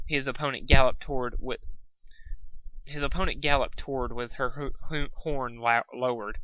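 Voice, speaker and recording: Man, amateur story narration, bright forceful voice, slight stumbles, dry, slightly dull recording. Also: mid-range voice, dry recording. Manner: stumbles and repeats words. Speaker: fan fiction reader.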